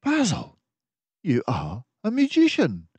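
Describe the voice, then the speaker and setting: A man's voice: high-pitched, huge pitch swings, slow, a little mellow, slightly rough, dry recording, voice pushed up, exaggerated voice. A man voicing an earnest, genteel mouse companion to a detective in a storybook, puzzled and admiring. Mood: amazed.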